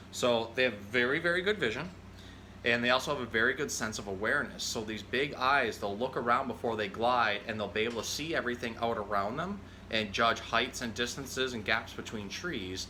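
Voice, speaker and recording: A man's voice: medium pitch, bright, forceful tone, slight background noise, poor audio. A man talking in a casual, explanatory way as a zookeeper hosting an educational storytime for children. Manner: conversational, explanatory.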